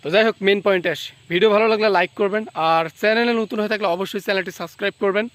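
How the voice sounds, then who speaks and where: A man's voice: high voice, fairly even intonation, very fast, fairly bright tone, no echo. A man hosting his channel, giving a casual outro to viewers. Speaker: channel host.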